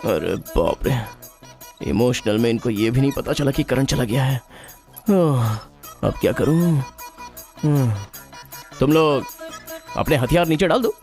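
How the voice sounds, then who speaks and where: A man's voice: medium pitch, lively intonation, unhurried, pauses often. An actor playing a cocky, exasperated young man with a brash, scolding manner in a dubbed film. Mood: taunting, flustered. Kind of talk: dubbed film comedy acting. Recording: loud film music behind. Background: loud film music.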